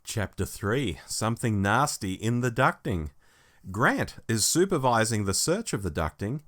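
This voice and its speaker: A man's voice: lowish voice, sing-song, a little slow, fairly bright tone. A man hosting a review podcast, reading out a prepared plot summary in a storytelling way. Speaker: podcast host.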